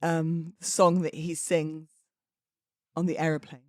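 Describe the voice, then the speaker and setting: A woman's voice: deep voice, unhurried, no echo. Chatty British woman hosting a podcast, dry sound. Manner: chatty, informal, cheeky, expressive. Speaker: podcast host. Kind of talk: casual solo podcast chat.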